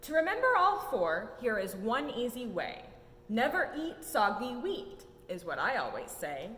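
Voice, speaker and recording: A young adult woman reads a rhyming children's picture book aloud in an expressive, sing-song way with emphatic swoops. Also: a little slow. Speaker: story time reader at a museum. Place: indoor museum exhibit room. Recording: echoey room with low rumbling noise.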